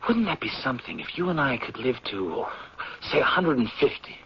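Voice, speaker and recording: An American actor playing an eager, idealistic anthropology professor in a 1970s radio drama, with an earnest, persuasive delivery and a husky voice. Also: male voice, medium pitch, very animated, slight background noise. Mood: earnest, musing. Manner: earnest, persuasive, deliberate when reading aloud. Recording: dull, lo-fi vintage radio recording.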